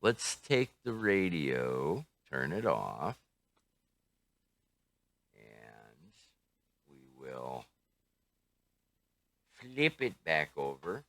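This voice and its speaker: Very deep-voiced older man, casually reviewing gear. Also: sing-song, fairly bright tone, no room sound. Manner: unhurried, musing, talks to himself. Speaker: radio hobbyist reviewer. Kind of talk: casual hands-on product review, thinking aloud.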